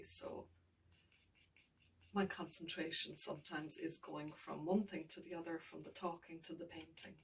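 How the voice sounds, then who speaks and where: A woman's voice: low-pitched, recorded from a distance, a little hiss or hum, rough recording. A woman art tutor talking through her painting as she works, thinking aloud, often mumbling and trailing off.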